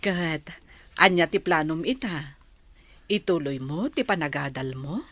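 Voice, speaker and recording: An actress playing a gentle, worried older mother in a radio drama, talking hesitantly. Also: deep voice, measured pace, dry recording.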